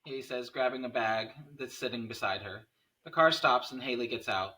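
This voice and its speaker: A man's voice: medium pitch, moderate pace, pushed and bright. A man reading out screenplay stage directions at a table read, picked up by a distant microphone.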